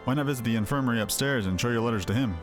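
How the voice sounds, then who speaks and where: A man's voice: medium pitch, racing, lightly acted. A man voicing a modest, reluctant knight in a fantasy video game, with courteous, formal, archaic speech. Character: modest low-ranking male knight, reluctant but courteous. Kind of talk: video game character dialogue, read aloud. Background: music.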